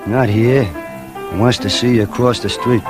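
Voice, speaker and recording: A man's voice: mid-range voice, steady tone, normal speed, over music, lightly acted. An actor playing a terse gangster henchman in 1940s radio crime drama style, speaking curtly. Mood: curt, businesslike. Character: terse male gangster henchman, errand runner.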